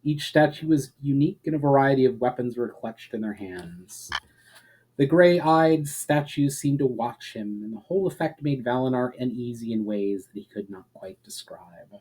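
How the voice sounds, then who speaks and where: A man reading fantasy fiction aloud as a dramatic narrator, deliberate, with emphatic phrase openings that fall away at the ends. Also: mid-range voice, lively intonation, darkish tone, slightly rough, low-quality recording. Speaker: author reading own story aloud.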